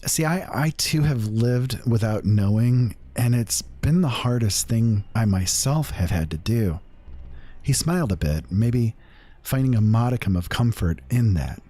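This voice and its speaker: An actor playing a sombre, sympathetic man who gravely narrates a fantasy tale in a measured, reflective way. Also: medium pitch, expressive, warm tone. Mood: sympathetic, wistful. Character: sombre, sympathetic male listener retelling a companion's confession. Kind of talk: reflective first-person fantasy storytelling.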